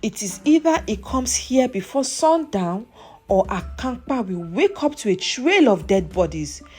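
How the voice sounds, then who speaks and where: A commanding, wrathful king from a folktale, played by a woman storyteller. He declaims his orders imperiously, with lively emphasis. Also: a little high, unhurried, slightly rough, heavily acted. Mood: menacing fury. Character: enraged, commanding male village king in a folktale. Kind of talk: folktale character voice.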